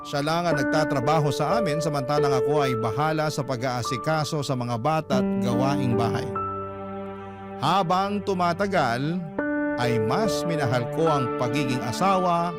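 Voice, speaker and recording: Male narrator, Filipino accent, slightly high pitch, radio drama storytelling, prominent instrumental music underneath. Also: moderate pace. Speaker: storyteller reading a listener's letter. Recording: loud background music. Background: loud instrumental music.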